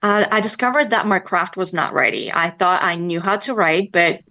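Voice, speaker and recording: A woman's voice: fairly low pitch, normal speed, bright, forceful tone. A woman for whom English is not her first language, answering interview questions about writing in a hesitant way with many fillers and restarts. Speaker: children's book author, guest.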